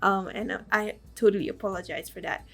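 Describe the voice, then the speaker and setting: A woman with a raspy voice, hoarse from the flu, narrating a game build in casual, rambling voice-over. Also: mid-range voice, steady tone, fairly quick. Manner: rambling, lots of fillers and small laughs.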